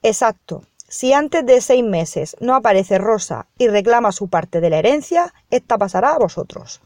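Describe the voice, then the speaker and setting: A woman from Spain playing a formal, businesslike lawyer in a radio soap, speaking in a measured way. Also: medium pitch, lively intonation, darkish tone, no room sound, close to an everyday voice. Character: formal, businesslike female lawyer reading a will. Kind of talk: amateur radio soap acting.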